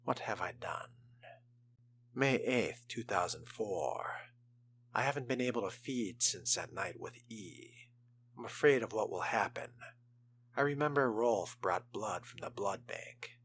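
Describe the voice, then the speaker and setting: A man voicing a naive, frightened young vampire reading dated diary entries in a hushed, confessional way. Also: lowish voice, animated, unhurried, a little hiss or hum. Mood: anguished, afraid. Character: young fledgling vampire diarist, naive, lovesick, afraid.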